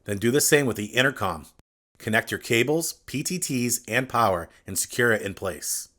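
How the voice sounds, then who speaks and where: A man reading a scripted step-by-step tutorial, addressing the viewer directly in a clear instructional manner. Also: mid-range voice, expressive, moderate pace, bright, forceful tone. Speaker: instructional video narrator.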